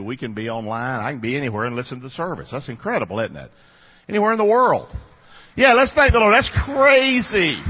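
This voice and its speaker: A man's voice: medium pitch, slightly rough. A man in his fifties with a Southern American accent, preaching a casual, chatty sermon and talking directly to his congregation. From North Carolina, USA. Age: 50s. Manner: folksy, chatty, talks to the congregation. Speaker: pastor. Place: church sanctuary. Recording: dull audio with long reverb.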